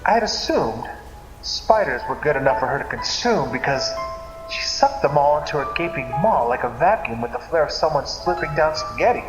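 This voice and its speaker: A man's voice: a little high, animated. Theatrical male storyteller in a reverberant hall. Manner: theatrical, deliberate. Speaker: narrator of a dream story series. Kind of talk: dramatic scripted storytelling in the manner of old-time radio serials. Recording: echoey reverberant hall, loud music behind. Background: music.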